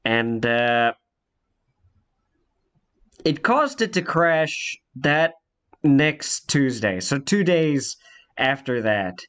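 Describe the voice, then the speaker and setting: A man's voice: mid-range voice, big pitch swings, very slow, pauses often, a bit bright, clear, resonant tone. A congested young man telling a rambling personal story in a casual vlog, with many fillers and restarts. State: congested from a cold.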